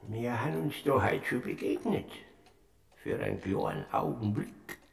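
Boandlkramer, a raspy creaky Death. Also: male voice, extremely low pitch, wildly swooping pitch, moderate pace, exaggerated voice. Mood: solemn. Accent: Bavarian. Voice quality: gravelly, raspy, drawling. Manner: hesitant, wheedling. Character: Boandlkramer, timid creaky male Death figure. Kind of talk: classic radio play acting.

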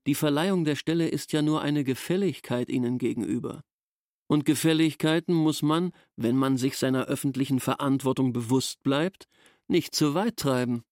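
An actor playing a pompous, grudging village schoolteacher in a literary radio drama, speaking in a pedantic, bureaucratic way. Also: male voice, medium pitch, normal speed, darkish tone, dry recording, studio quality, close to an everyday voice. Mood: officious. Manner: pedantic, self-important, bureaucratic. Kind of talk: formal dramatized literary dialogue.

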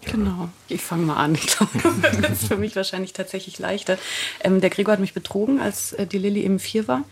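A woman's voice: mid-range voice, a little fast, noisy background. A woman in her thirties, a podcast guest candidly telling her personal story. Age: 30s.

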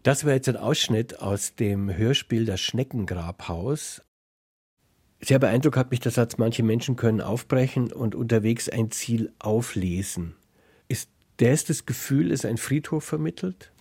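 A male interviewer on an arts radio programme. His voice is mellow and somewhat husky, at a middle pitch, and he puts his questions in a reflective, deliberate way. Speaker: host, interviewer.

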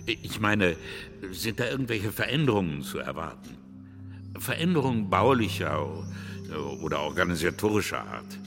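A deep, creaky-voiced man, formal, fussy and evasive. He hesitates like someone excusing himself in a radio play, with music behind. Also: very animated, moderate pace. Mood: anxious, apologetic. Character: anxious, evasive man fussing over his housing. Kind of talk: German radio drama acting. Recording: reverberant hall with music behind.

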